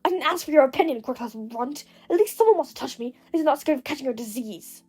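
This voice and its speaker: A short-tempered teenage boy from an audio story, acted out by a woman. The voice is high for a grown speaker, curt and defiant, snapping out its words. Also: lively intonation, fast, warm tone. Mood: defensive anger.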